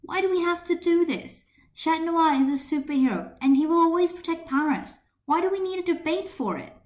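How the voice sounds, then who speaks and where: A woman's voice: extremely high pitch, lively intonation, fairly quick, echoey room, pitched above the performer's own voice. A woman voicing a fiercely loyal teenage schoolgirl heroine with an indignant, emphatic manner, in an amateur story reading.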